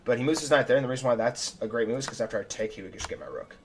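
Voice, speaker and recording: A young man, a chess streamer, talking casually and self-critically through his own game, his voice a little raspy. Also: mid-range voice, rapid-fire, a little hiss or hum. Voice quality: slightly raspy. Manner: chatty and self-critical, thinking aloud move by move. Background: faint background music.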